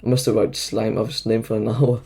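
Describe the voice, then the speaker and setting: A man plays a wry schoolboy sidekick in an audio drama. His voice is gravelly and mellow, and he sounds blunt and incredulous. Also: lowish voice, level tone, fairly quick, slight background noise. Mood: excited recall. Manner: sarcastic, incredulous.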